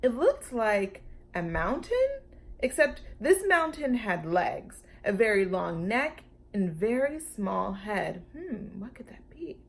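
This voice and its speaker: A woman reading a children's storybook aloud as a storytime teacher, expressive and speaking directly to young listeners. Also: medium pitch, slow, gravelly.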